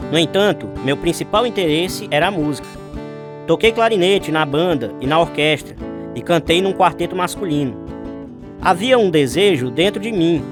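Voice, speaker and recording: A man's voice: on the high side, lightly acted. An actor playing an earnest man recalling his youth in scripted radio drama narration.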